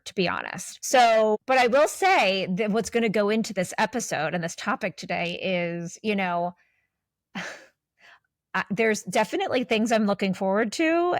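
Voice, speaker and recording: A woman's voice: medium pitch, no room sound, studio quality. A woman in her forties co-hosting a podcast, talking candidly in a rambling, casual way with many restarts. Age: 40s. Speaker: podcast co-host.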